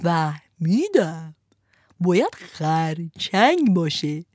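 A woman putting on a greedy ogre-like fisherman, who savors every word slowly and mockingly, his voice swinging widely up and down. It is a dry, close storybook reading. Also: mid-range voice, sing-song, dry recording, lightly acted. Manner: slow, relishing, mocking.

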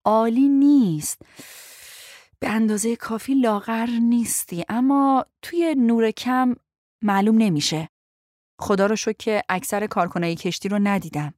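A woman's voice: fairly high pitch, a little mellow, dry recording, studio quality, natural voice. A woman voicing a bossy, desperate and injured young woman in an audiobook story, snappish and strained. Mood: critical. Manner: snappish, strained through gritted teeth.

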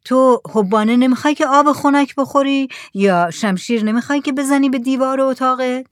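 A woman's voice: high voice, no room sound, studio quality, voice pushed up, lightly acted. A woman reading the lines of an eager village man trying to sell his goods. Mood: coaxing. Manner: coaxing, persuasive.

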